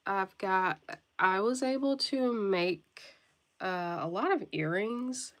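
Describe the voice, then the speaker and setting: A woman with a congested, stuffy voice talks in an unhurried, leisurely way during a live online sale. She greets her viewers one by one and pauses often to clear her throat. Her pitch sits in an ordinary middle range for a woman. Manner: friendly greetings to viewers by name; occasional coughing and throat clearing. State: stuffy nose from a cold. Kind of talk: casual livestream chat.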